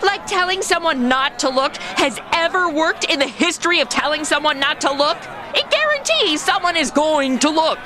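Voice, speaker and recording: Sassy sarcastic chick actress doing it, high pitched n super animated, gripes a lot, comedy noir audio drama, bit noisy. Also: female voice, normal speed, few pauses. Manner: sarcastic, chatty, complaining. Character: sassy, flippant modern woman stuck in 1950s noir Cuba.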